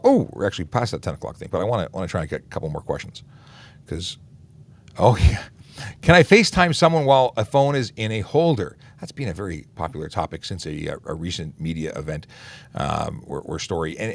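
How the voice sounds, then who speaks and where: Man, very low gravelly voice, cop answering chat questions on a livestream, easygoing with lots of fillers. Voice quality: deep voice. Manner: relaxed, many "uh" fillers and restarts. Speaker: police officer hosting a traffic Q&A livestream. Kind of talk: casual livestream Q&A, reading and answering viewer questions.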